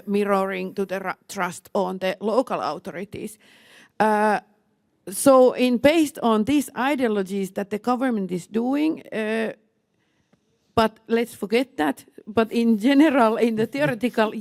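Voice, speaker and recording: A woman speaking as a panelist, halting with frequent fillers, in a language not her first. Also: mid-range voice, gravelly, darkish tone, no echo. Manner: halting, many fillers and restarts. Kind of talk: panel discussion.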